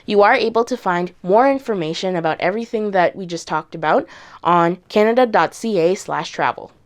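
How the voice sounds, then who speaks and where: A woman reading a scripted informational segment in an even, measured way. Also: on the low side. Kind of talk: scripted narration.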